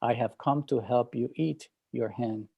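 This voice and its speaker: Death as a solemn, unhurried visitor in a read-aloud folktale, as a man puts him on. The voice is mellow and dark, with little echo around it. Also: mid-range voice, creaky, breathy edge. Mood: grave.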